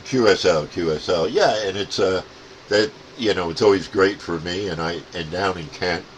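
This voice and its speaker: A man chatting casually as a CB radio hobbyist, rambling and halting, with plenty of radio jargon. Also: deep voice, background noise.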